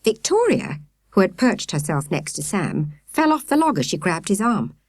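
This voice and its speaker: A woman narrating a children's story in expressive, carefully phrased style. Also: mid-range voice, moderate pace, no room sound, studio quality. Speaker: audiobook narrator.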